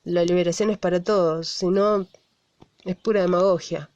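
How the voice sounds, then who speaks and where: A woman's voice: fairly low pitch, steady tone, moderate pace, a little mellow, dry recording, muffled recording, close to an everyday voice. A woman with an Argentine accent voicing an indignant activist in a conversational monologue, blunt and full of rhetorical questions. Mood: indignant, argumentative. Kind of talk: conversational radio theatre monologue.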